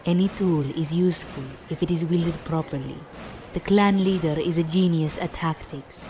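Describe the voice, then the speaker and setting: A woman's voice: on the low side, fairly even intonation, warm tone, slight background noise, close to an everyday voice. Woman acting a stern, formal fleet admiral. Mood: calmly firm. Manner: formal, measured, avoids contractions. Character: stern, dignified, loyal female fleet admiral. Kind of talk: audio drama acting.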